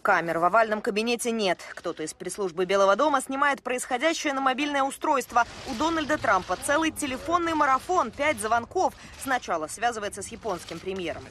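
Female news correspondent, brisk and bright scripted delivery, faint noise behind. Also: on the high side, lively intonation. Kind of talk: scripted news report narration.

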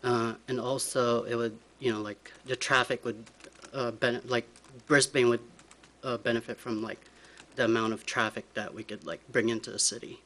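Young male, bright medium-pitched voice, nervous and halting, informal public-comment delivery. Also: fairly even intonation. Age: young adult. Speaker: business applicant speaking at a public hearing. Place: large council chamber, indoors. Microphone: podium microphone.

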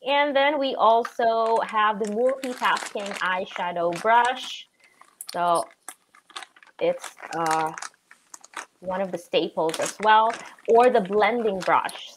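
Raspy Filipino woman hosting a live call. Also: mid-range voice, a little hiss or hum, low-quality recording. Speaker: host of a live show. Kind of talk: casual live video call chat. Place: home room with shelves.

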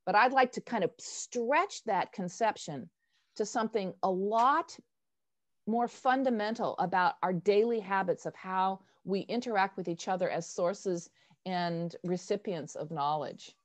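Older woman facilitating, animated and emphatic. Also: medium pitch, a little slow. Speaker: host, workshop facilitator. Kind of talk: informal online workshop discussion. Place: indoors on a video call. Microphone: wired earphone headset.

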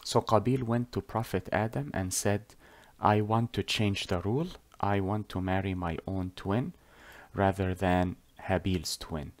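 A man whose first language is Arabic tells a religious story as a lesson, talking deliberately with many pauses and self-corrections. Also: deep voice, fairly even intonation. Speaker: religious storyteller teaching on a whiteboard.

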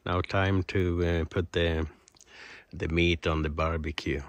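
A man from Sweden, a native Swedish speaker, chatting casually and haltingly as he vlogs a fishing and camping trip. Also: low-pitched, little pitch movement, dry recording, lo-fi sound. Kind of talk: casual chat to camera while fishing. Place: outdoors by lakes and a forest campsite. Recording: outdoor noise on camera mic.

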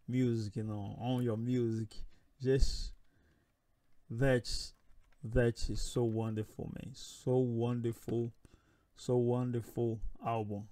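A man in his thirties from Brazil, a saxophonist with a strong Brazilian Portuguese accent, recording a halting thank-you message into a webcam in a small room at home. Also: medium pitch, mellow, no room sound. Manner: halting, searching for words, grateful. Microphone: webcam at close range.

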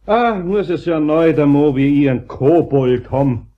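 A man's voice: a little high, lively intonation, moderate pace, close to an everyday voice. A man with a Bavarian accent acting an exasperated, scolding older master carpenter in a children's radio play. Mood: worried, grumbling. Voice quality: husky. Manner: scolding, muttering, folksy. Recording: dull, muffled cassette sound.

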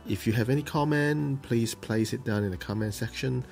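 A man hosting a model-building show, explaining the steps plainly, with pauses and ums. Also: mid-range voice, vocal fry, a little mellow, soft background music. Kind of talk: hobby tutorial voice-over.

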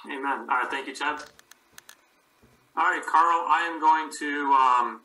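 A man hosting a church meeting over a video call, making announcements in a relaxed way with fillers. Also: on the high side, unhurried, slight background noise, low-quality recording. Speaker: meeting host. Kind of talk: casual meeting chat.

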